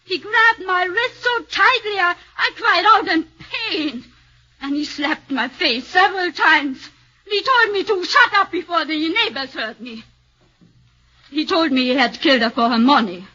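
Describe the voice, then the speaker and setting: An actress playing a cool, calculating woman witness with an Austrian accent, testifying in measured tones in a 1940s radio play. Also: extremely high pitch, animated, pushed and bright, full character voice. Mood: dramatic. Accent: Austrian German. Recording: dull lo-fi old radio broadcast.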